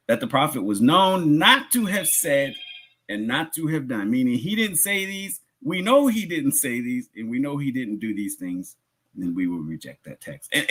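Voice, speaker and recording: Male preacher, Islamic lecture, husky voice, lively swooping intonation, explanatory, emphatic repetition, rhetorical asides. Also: mid-range voice. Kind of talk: religious lecture, teaching.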